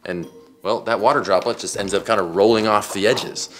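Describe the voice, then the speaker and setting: A man's voice: fairly low pitch, fairly even intonation, brisk. An American man in his forties hosting a science explainer, talking to camera in a casual, emphatic, conversational way. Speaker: science show host. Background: background music.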